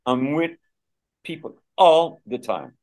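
A man's voice: a little high, lively intonation, takes it slowly, a little mellow. A man from Dublin with a Dublin Irish accent, chatting as a guest in a casual interview, rambling with many restarts and "you know"s. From Dublin, Ireland.

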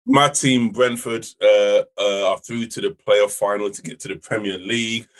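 A young man co-hosting a casual podcast, hoarse from shouting, excitable and quick to laugh. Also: on the low side. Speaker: podcast co-host. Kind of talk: casual chat.

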